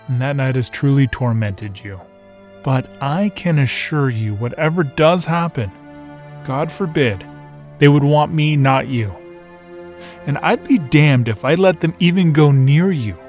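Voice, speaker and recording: A man playing a protective master of orphaned boys, speaking in a formal, measured, earnest way in a manga dub. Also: mid-range voice, a little mellow, natural voice.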